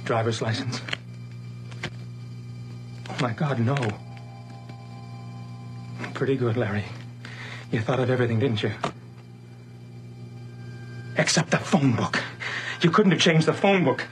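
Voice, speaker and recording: A man's voice: medium pitch, measured pace, long pauses, music in the background, natural voice, low-quality recording. An actor playing a bewildered, paranoid man in a radio horror drama, intense and suspicious. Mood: agitated. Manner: muttering to himself, intense, suspicious. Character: bewildered, paranoid man doubting his own identity.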